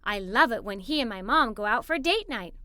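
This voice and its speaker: A woman reading a scripted children's story aloud in an animated, expressive way. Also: a little high, brisk, no echo. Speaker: story narrator. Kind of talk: scripted children's story read-aloud, first person as a young girl.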